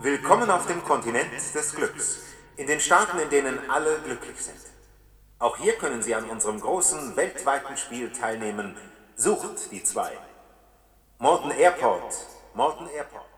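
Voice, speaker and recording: A man's voice: mid-range voice, animated, normal speed, close to an everyday voice. An actor playing a male airport announcer making public address announcements in a radio play. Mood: impersonal. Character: airport announcer for a dystopian happy state. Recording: echoey loudspeaker in large hall, distant.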